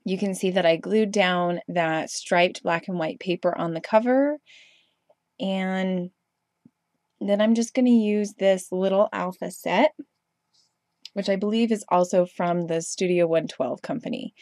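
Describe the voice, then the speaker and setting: A woman's voice: fairly low pitch, steady tone, measured pace, a little mellow, no echo, clean studio sound. A young woman with a stuffy, congested voice from a cold, casually narrating a craft tutorial over her footage with rambling, chatty asides and lots of ums. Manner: chatty, rambling, frequent ums and self-corrections. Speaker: craft video host.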